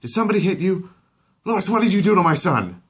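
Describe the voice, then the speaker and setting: A man's voice: high-pitched, big pitch swings, fast talker, fairly bright tone, breathy edge, pitched above the performer's own voice, full character voice. A man voicing an oafish, hot-headed cartoon father in a blustering, indignant manner. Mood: agitated, concerned.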